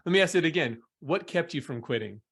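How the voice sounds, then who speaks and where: A man's voice: a little high, expressive, a little fast, vocal fry, fairly bright tone, breathy edge. A middle-aged man hosting an interview over a video call, recapping the story in a reflective way and now and then joking. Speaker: interview host. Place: indoor room at home.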